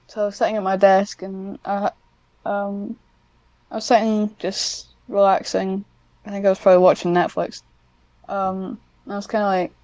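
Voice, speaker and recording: A woman's voice: medium pitch, a little mellow, dry recording, low-quality recording. A woman chatting casually as an interview guest, informal and self-deprecating. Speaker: podcast guest, amateur script writer.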